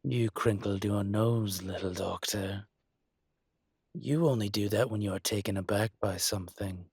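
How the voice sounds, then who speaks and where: A man playing a suave, teasing dragon mafia boss in a scripted romantic audio roleplay, speaking deliberately. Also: fairly low pitch, darkish tone, husky, no room sound, studio quality.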